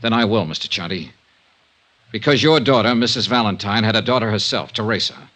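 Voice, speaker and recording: An actor playing a hard-boiled, world-weary insurance investigator with an American accent and clipped, punchy phrasing in 1950s radio style. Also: male voice, on the low side, fairly quick, a bit bright, natural voice. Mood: confronting, bitter. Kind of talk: 1950s radio detective acting and narration. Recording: vintage 1950s radio recording.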